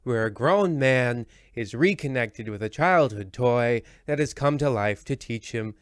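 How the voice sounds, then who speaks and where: A man's voice: medium pitch, lively intonation, unhurried, clear, resonant tone, no room sound, clean studio sound, lightly acted. A man playing a half-hearted horror anthology radio host, his formal patter slipping into offhand grumbling. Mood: mock solemn. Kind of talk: old-time radio horror host spoof.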